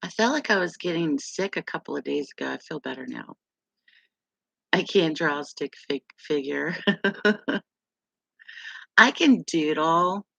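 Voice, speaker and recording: Middle-aged woman host, gravelly low voice, unhurried chatty live stream delivery, dry close sound. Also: animated, a bit bright, no room sound, muffled recording. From West Virginia, USA. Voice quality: deep, gravelly voice. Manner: slow, rambling, laughs often. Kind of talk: casual live chat with viewers.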